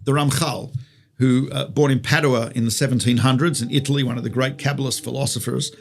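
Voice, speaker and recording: A man's voice: medium pitch, fairly even intonation, a little fast, creaky. A man with an Australian accent, a rabbi teaching conversationally through stories and rhetorical questions. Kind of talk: conversational religious teaching with storytelling.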